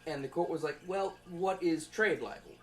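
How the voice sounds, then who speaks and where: A young man giving an informal student presentation from notes, in a halting, conversational way. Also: on the high side. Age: young adult.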